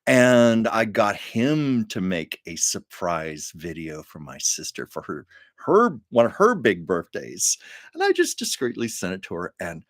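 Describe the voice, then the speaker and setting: A man's voice: on the low side, wildly swooping pitch, a little slow, no echo. A man hosting his own livestream from home, chatting casually with viewers and laughing often. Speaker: livestream host. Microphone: camera on a livestream setup.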